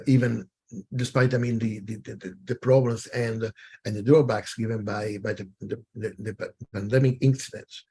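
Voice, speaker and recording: A man runs a webinar, talking through his slides in a halting way, full of fillers and restarts. His voice is gravelly and a little husky. He is on a video call. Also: lowish voice, warm tone. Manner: hesitant, many fillers and restarts. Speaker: webinar moderator. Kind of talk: webinar presentation.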